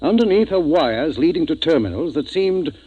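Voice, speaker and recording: An actor playing an earnest, thoughtful ship's doctor who speaks deliberately and emphatically in a 1950s radio drama. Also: male voice, on the high side, very animated, mellow, husky, lo-fi sound. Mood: thoughtful, explaining.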